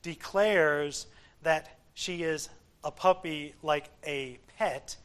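A man preaching an expository sermon, explaining scripture in deliberate, emphatic short phrases. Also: a little high, a bit bright, clear, resonant tone.

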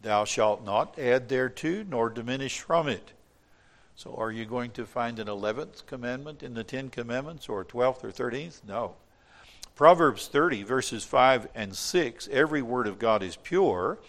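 A man preaching a sermon to his congregation, emphatic and repeating words when stressing a point, reading scripture aloud in measured tones. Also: mid-range voice, expressive. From from outside Australia. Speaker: pastor.

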